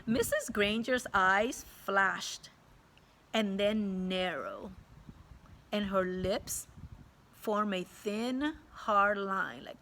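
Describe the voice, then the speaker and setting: A woman teacher reading a story aloud to children expressively, pausing to explain it in simple words. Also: mid-range voice, expressive, sharp tone, a little hiss or hum.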